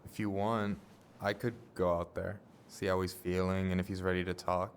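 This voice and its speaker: A steady young American best friend in a story game. His voice is fairly deep and stays very even, gentle and reassuring in a natural, everyday way. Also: moderate pace, slight background noise. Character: calm, supportive young male best friend. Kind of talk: naturalistic video game acting.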